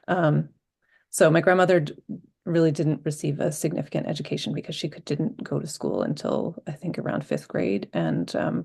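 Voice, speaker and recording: Panelist, woman from Vermont, heavy vocal fry, thoughtful and hesitant delivery with many pauses. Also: deep voice, a little mellow. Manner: reflective, halting with many ums and restarts. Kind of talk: personal account on a panel.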